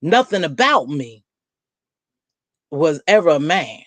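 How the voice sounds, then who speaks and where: A middle-aged woman with a deep voice, hosting a casual livestream from home and chatting bluntly with viewers, calling them baby and girl. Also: very animated, takes it slowly, dry recording. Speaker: livestream host. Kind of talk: casual live chat, answering viewer comments.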